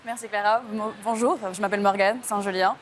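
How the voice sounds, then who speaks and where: A woman's voice: medium pitch, fairly even intonation, brisk, a little hiss or hum. A woman from French Guiana giving reflective, carefully phrased interview answers. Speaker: delegation member, interviewee.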